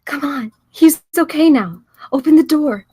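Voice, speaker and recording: A woman's voice: high voice, a little slow, mellow. An actress at a screenplay table read playing Jess, an anxious, devoted woman who speaks in an earnest, pleading, placating way. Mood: soothing, worried.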